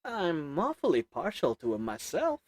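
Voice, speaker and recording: A man's voice: a little high, huge pitch swings, moderate pace, no echo. A man voicing a sly little old goblin merchant who sells shady goods, talking in a wheedling folksy drawl. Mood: sly salesman.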